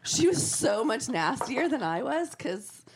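A woman co-hosting a casual chat, telling stories in a lively way, a bit hoarse. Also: sits high, a little slow, noisy background. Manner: storytelling, lively. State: hoarse from coughing. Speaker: co-host.

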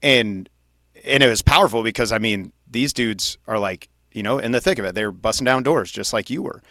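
A man's voice: mid-range voice, brisk, pushed and bright, no room sound, clean studio sound. A man hosting an interview in casual chat, with an informal, affirming way of talking. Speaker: interviewer, podcast host.

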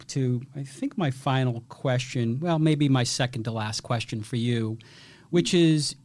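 Polished male podcast host, fairly high. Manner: polished, enthusiastic, formal. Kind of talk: interview hosting and sponsor read.

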